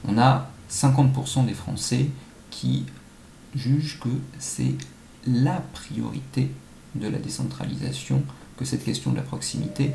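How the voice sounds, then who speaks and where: A man giving measured, analytical answers as an academic expert being interviewed, with some halting phrasing. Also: medium pitch, warm tone. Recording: echoey room, faint-to-clear background noise.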